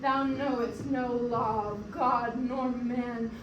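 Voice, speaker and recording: A woman's voice: fairly high pitch, steady tone, lo-fi sound. A young woman acting a grieving, vengeful widowed noblewoman in a declamatory, emotional classical Shakespearean stage style. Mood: defiant, scornful. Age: young adult. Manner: declamatory, emotional, slow deliberate delivery. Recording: roomy, distant mic, noisy room.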